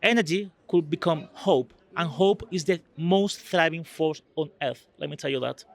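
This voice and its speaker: Earnest man, fairly high voice. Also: normal speed, vocal fry. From Spain. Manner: earnest, searching for words, non-native phrasing. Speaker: EU delegate guest. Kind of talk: conversational interview answers.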